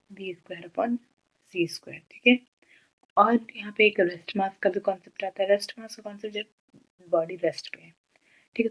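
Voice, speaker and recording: A woman's voice: medium pitch, rough recording. A woman with an Indian accent teaching physics as a tutor, explaining step by step and often posing questions that she then answers. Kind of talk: step-by-step problem explanation, Hindi mixed with English terms.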